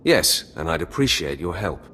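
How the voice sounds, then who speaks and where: An actor playing an ambitious young Sith acolyte in a video game, cool, self-assured and formal. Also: male voice, sits low, big pitch swings, normal speed, bright, forceful tone, a little hiss or hum, full character voice. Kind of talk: scripted video game drama acting.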